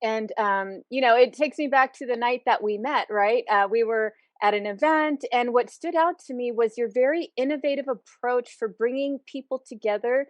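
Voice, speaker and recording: A woman's voice: on the high side, moderate pace, hardly any pauses, no room sound. A woman hosting an interview, affirming and encouraging her guest and reflecting on what they say. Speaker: interview host. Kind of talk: interview conversation.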